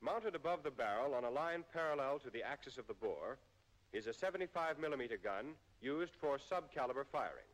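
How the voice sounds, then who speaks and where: An American man reading a scripted 1940s military training film narration in a formal, measured way with precise enunciation. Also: medium pitch, expressive, a little hiss or hum. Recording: 1940s film soundtrack, long reverb.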